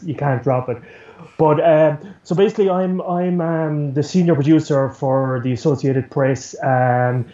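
A man's voice: a little high, warm tone, echoey room, muffled recording. An Irish-accented man answering interview questions casually as a news producer, rambling and repeating words as he goes. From Ireland.

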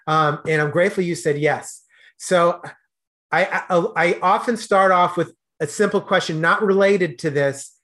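A man's voice: high-pitched, a little slow, fairly bright tone. A man hosting a podcast, asking questions in an earnest, hesitant way with many fillers. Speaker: podcast host. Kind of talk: casual interview questions.

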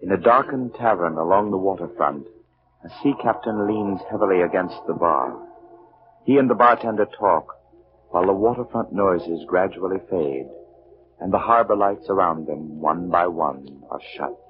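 A man's voice: deep voice, moderate pace. A man narrating a 1940s radio drama in solemn style, on a muffled old recording. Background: prominent music.

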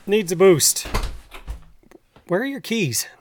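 A man playing a gruff but decent middle-aged farmer in an audio drama, firm, plain-spoken and folksy. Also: sits high, big pitch swings, slow, slight background noise, full character voice. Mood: matter-of-fact. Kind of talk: naturalistic audio drama acting.

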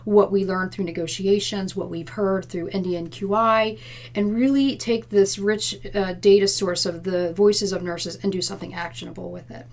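A woman's voice: mid-range voice, fairly even intonation, normal speed. A woman giving earnest, explanatory, conversational answers as an interview guest in a senior nursing leadership role. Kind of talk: conversational interview answers about workplace plans.

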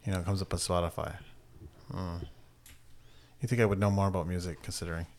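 A man's voice: low-pitched, flat delivery, quick pace, a little mellow. A man co-hosting a casual comedy chat, laid-back with dry, teasing asides. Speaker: podcast co-host.

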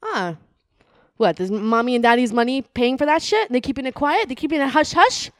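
A woman's voice: sits high, animated, a little fast, dry recording, clean studio sound. A woman playing a hostile bad cop who goads and swears at a suspect during an interrogation. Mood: menacing. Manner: sneering, goading, repeats "huh?", swears.

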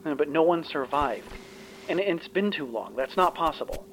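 A man's voice: lively intonation, moderate pace, a bit bright, low-quality recording. A man playing a dry, practical starship engineer in an audio drama, wry and conversational. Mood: disbelieving. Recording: faint ship rumble behind.

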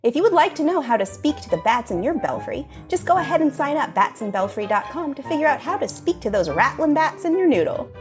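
A woman playing a pleasant, insistent pitchwoman in an improvised spoof radio commercial. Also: big pitch swings, fast talker, hardly any pauses, faint music behind. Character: nice, insistent commercial pitchwoman repeating questions.

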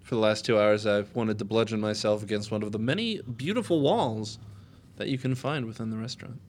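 A man's voice: on the low side, fairly quick, slight background noise. A man co-hosting a casual podcast, rambling and joking, often correcting himself mid-sentence. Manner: rambling, self-correcting, jokey. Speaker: podcast co-host.

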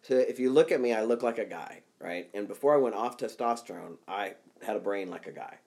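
A man's voice: on the low side, fairly quick, a little mellow. A man co-hosting a podcast in casual chat, talking bluntly and profanely and getting worked up as he goes. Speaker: podcast co-host.

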